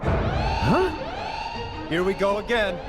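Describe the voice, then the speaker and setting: An actor playing a plucky, determined superhero in a video game, with punchy delivery over music. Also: male voice, sits high, sing-song, takes it slowly, full character voice. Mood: wary. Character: plucky, determined male superhero squad leader.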